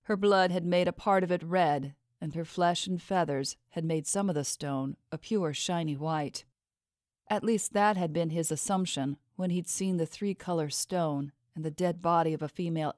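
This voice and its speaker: A woman reads a fantasy novel as an audiobook narrator. Her voice is pitched low for a woman. The recording is dry, with no room sound. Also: a little mellow, dry recording.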